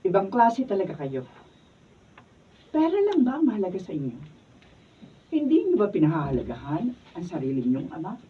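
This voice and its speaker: An actress playing a family lawyer in a radio drama who scolds greedy heirs. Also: female voice, lowish voice, huge pitch swings, moderate pace, pauses often, slightly rough, exaggerated voice. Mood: scornful. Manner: scolding, sharp rebukes. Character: blunt family lawyer, scornful woman. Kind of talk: radio drama acting, read from script. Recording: tinny, phone-like, mic from a distance.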